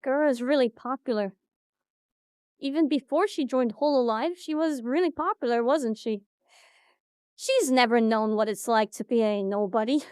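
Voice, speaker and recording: A woman's voice: a little high, normal speed, a little mellow, clear, resonant tone, dry recording, studio quality, natural voice. A woman in an animatic audio drama playing a resentful, sardonic young idol who is bossy and blunt. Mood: bitter, guarded. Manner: blunt, sarcastic, swearing.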